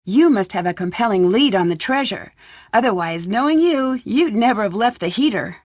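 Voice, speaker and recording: An actress playing an earnest, polite young woman detective in a video game, probing and gently assertive. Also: mid-range voice, lively intonation, normal speed, dry recording, studio quality, lightly acted.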